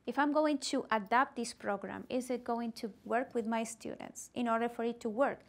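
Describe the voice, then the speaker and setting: A woman's voice: fairly high pitch, fairly even intonation, normal speed. A middle-aged woman presenting at a teacher workshop, talking animatedly and with emphasis in a meeting room. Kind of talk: workshop discussion.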